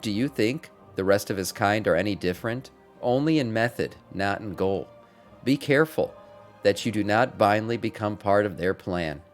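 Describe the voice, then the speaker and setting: A man's voice: fairly low pitch, expressive, moderate pace, soft background music, lightly acted. A man reading aloud the game dialogue of a grave, ominous orc in a solemn, portentous manner. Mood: grave warning. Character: grave, ominous male orc warning of cosmic schemes.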